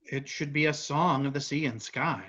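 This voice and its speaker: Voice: medium pitch, normal speed, a bit bright, close to an everyday voice. An actor playing a cautious, dutiful, anxious big brother in a staged fantasy play reading. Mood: resigned, earnest. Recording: echoey room, dull lo-fi sound.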